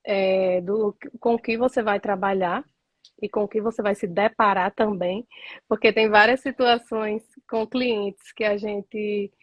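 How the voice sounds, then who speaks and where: A woman from Northeast Brazil, a lawyer guest, explaining earnestly in a live interview with frequent filler pauses. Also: medium pitch, fairly even intonation, dry recording.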